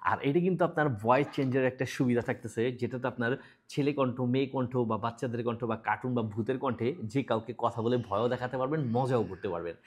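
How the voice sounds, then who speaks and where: Male Bangladeshi product presenter, rapid-fire delivery, slightly rough mid-pitched voice, informal persuasive selling. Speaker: online shop product presenter.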